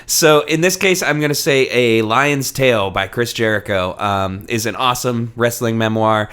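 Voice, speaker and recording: A man's voice: medium pitch, normal speed, fairly bright tone, clear, resonant tone. A man hosting a podcast, chatting casually in an informal, enthusiastic way with some swearing. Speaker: podcast host.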